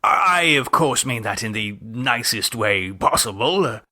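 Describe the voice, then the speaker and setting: A man voicing a jovial, excitable upper-class English gentleman in an audiobook, with plummy, exclamatory delivery. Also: lowish voice, a little slow, heavily acted. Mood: hearty, amused. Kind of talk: audiobook character acting.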